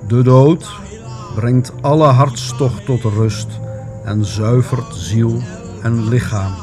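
A man playing a solemn high priest who declaims a funeral oration in a melodramatic radio play style. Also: steady tone, music in the background, close to an everyday voice. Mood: solemn, booming. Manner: slow, loud, declaiming.

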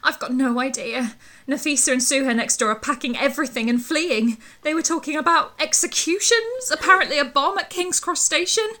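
A woman's voice: high voice, brisk, sharp tone. A woman playing a frightened, exasperated young daughter in an audio drama. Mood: anxious, dry. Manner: exasperated, sweary.

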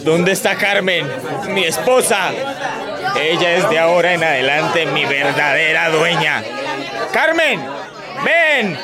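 A man's voice: on the high side, animated, slow. A man playing an earnest young groom and heir in an audio drama, declaiming in an emotional, effusive way. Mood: dazed, insistent. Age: young adult. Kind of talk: Spanish-language audio drama acting. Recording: loud music and crowd behind voice.

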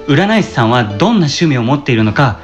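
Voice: medium pitch, big pitch swings, fast, lo-fi sound, faint music behind, natural voice. A performer playing a timid, earnest young male customer in a radio drama, polite, hesitant and grateful. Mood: shy, eager. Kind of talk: amateur radio drama acting.

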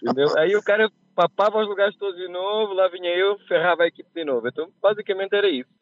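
Portuguese man on a remote call, slightly high voice, thin phone-line sound, chatty storyteller who laughs at himself. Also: fast, no echo. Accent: Portuguese, softened by years in Brazil. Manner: chatty, laughs at his own mishaps. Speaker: podcast guest. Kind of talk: casual storytelling.

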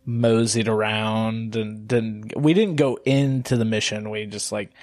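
A man's voice: on the low side, fairly even intonation, measured pace, a little mellow, no room sound. A man in his late twenties hosting a podcast, chatting in a friendly, enthusiastic way. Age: 20s. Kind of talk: casual chat.

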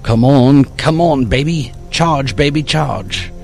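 Man doing an excitable, raised-pitch rookie policeman. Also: unhurried, faint music behind, close to an everyday voice. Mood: eager coaxing. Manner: eager, excitable. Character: eager young male rookie policeman, excitable tech helper.

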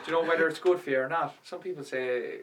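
A man's voice: a little high, fast talker, crowd noise. A man hosting a casual podcast chat, teasing his co-hosts and moving through questions. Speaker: podcast host.

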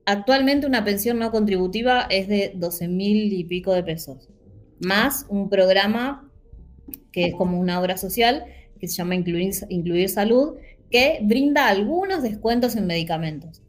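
A woman's voice: mid-range voice, normal speed, soft background music. An Argentine woman with a Rioplatense accent, speaking earnestly in a casual panel discussion with frequent fillers. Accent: Rioplatense Spanish. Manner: earnest, animated, many fillers.

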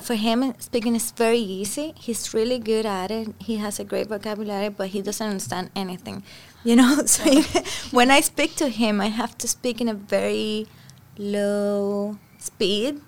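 A lively woman, talking in English as a guest on a podcast. Her voice sits a little on the high side, and she chats in a loose, expressive way. She laughs easily and keeps reaching for "like" and "you know". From Cuba. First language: Spanish. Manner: chatty and expressive, laughs often, many "like" and "you know" fillers. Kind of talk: casual conversation.

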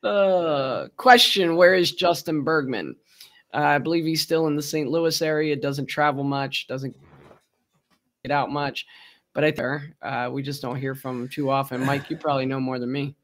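A man's voice: a little high, normal speed, fairly bright tone. A man in his forties with a Baltimore accent, co-hosting a casual livestream and telling stories with frequent laughs. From Baltimore, Maryland. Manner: chatty storyteller, laughs often. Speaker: co-host.

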